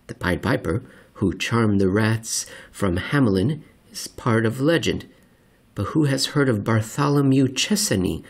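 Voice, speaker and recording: Man, husky mid-pitched voice, scripted narration, deliberate delivery, theatrical emphasis. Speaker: podcast host reading aloud. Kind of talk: scripted narration, dramatic essay reading.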